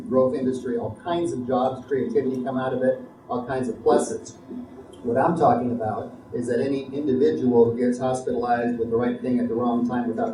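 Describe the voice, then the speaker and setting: A man's voice: mid-range voice, dark tone. Man listing points in an echoey shop. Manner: measured, lists points in order. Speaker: panel guest. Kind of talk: debate remarks. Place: tobacco shop, live radio show. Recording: echoey room from far off, background noise.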